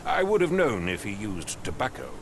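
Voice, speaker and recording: Middle-aged male English captain for a game: pompous, curt, slightly deep, outdoor noise behind. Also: huge pitch swings, moderate pace. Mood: dismissive. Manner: pompous, clipped, condescending. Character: pompous, impatient male English captain, haughty wealthy master. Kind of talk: video game voice acting.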